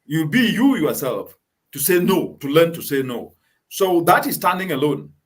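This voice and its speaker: Male host from Cameroon, emphatic and repetitive, a touch high-pitched. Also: very animated, moderate pace, slightly rough. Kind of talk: conversational interview.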